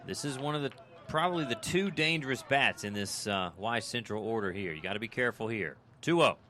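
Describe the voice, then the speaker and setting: An American man doing live radio play-by-play of a baseball game, in clipped descriptive phrases that turn conversational between pitches. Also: expressive, fairly quick, bright, forceful tone. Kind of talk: live sports commentary.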